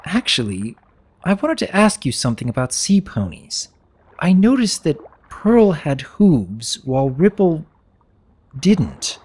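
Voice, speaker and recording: A man's voice: fairly high pitch, sing-song, a little slow, darkish tone, slightly rough, voice pushed up, full character voice. A man voicing a young pony baron in a storybook, earnest, well-mannered and slightly awkward. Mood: awkward, distracted.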